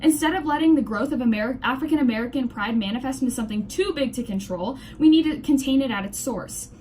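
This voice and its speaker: A woman's voice: high voice, fast talker, a little mellow, a little hiss or hum. A young woman reading a scripted news commentary aloud with scornful opinions. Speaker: presenter of a student-made 1920s-style news report.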